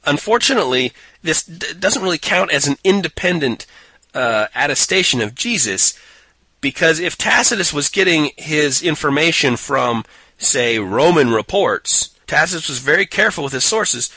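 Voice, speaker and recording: A man's voice: on the high side, animated, normal speed, bright, forceful tone, husky, dry recording, rough recording. A man co-hosting a casual podcast, picking apart a book with a sarcastic, mocking manner and frequent laughter. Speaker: podcast co-host. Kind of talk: casual chat reviewing a book.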